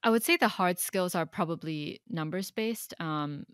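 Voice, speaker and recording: A woman's voice: on the low side, normal speed, clean tone, no echo, studio quality. A woman in her twenties from Hong Kong, working as an analyst, answering interview questions thoughtfully with frequent fillers.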